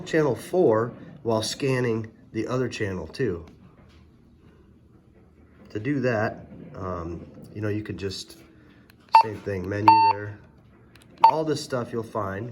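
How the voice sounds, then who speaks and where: A man giving a casual, hands-on gadget tutorial, explaining step by step in a hesitant way. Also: lowish voice. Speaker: product reviewer, presenter. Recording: very noisy, electronic beeps and clicks.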